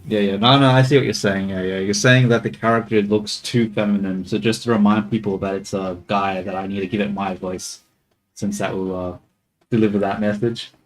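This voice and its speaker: A man's voice: fairly low pitch, fairly even intonation, brisk, rough recording. A man streaming as he models a 3D character, talking to himself and his chat in a joking, muttering way. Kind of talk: casual live commentary while working.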